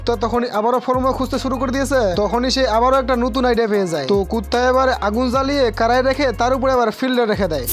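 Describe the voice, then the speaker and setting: A man narrates a comic cartoon recap at a fast clip. His storytelling is colloquial and joking, with music clearly running behind him. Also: extremely high pitch.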